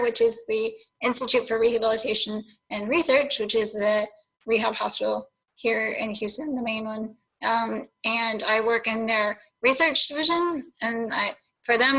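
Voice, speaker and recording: A woman from Texas who works in research, introducing herself with many pauses and ums. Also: fairly high pitch, bright, forceful tone, low-quality recording. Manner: hesitant, lots of ums. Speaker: health researcher. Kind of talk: self-introduction.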